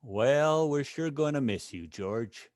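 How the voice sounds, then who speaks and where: A man playing a tired, gentle, principled father in a radio play, measured and wistful. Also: medium pitch, animated, no room sound, studio quality. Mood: weary. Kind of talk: old-time radio play acting.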